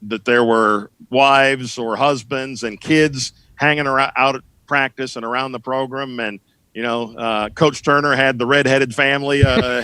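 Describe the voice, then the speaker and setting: Middle aged sports broadcaster guy chatting about old times, bright forceful voice, really dry sound, kinda searches for words. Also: medium pitch, no echo. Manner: halting, searching, anecdotal. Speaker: sports broadcaster being interviewed as a guest. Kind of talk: casual reminiscing podcast conversation.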